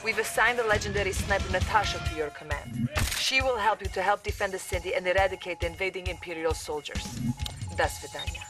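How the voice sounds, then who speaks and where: A woman's voice: medium pitch, fast talker, lightly acted. Woman playing earnest Soviet briefing officer over music. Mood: urgent, earnest. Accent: Russian. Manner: crisp, formal, earnest. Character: dutiful, earnest young female Soviet intelligence officer. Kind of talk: military briefing in a game cutscene. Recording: clear music bed behind voice.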